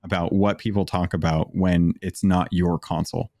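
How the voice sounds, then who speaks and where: A man with a deep voice, a guest on a gaming podcast, talking in a relaxed, rambling way with frequent restarts. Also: normal speed, no echo, studio quality. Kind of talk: casual conversation.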